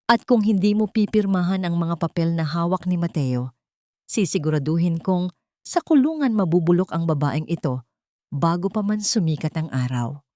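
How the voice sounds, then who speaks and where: Woman acting as a scheming villainess wife, wealthy, haughty, menacing, lowish female voice, audiobook melodrama. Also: animated, moderate pace, dark tone, no room sound, studio quality, lightly acted. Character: cruel, scheming rich wife, venomous and manipulative.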